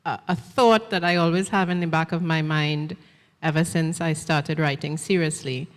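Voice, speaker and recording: A woman's voice: sits low, steady tone, normal speed, big-room sound. A woman author from Trinidad, speaking reflectively on a festival panel. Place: festival event hall.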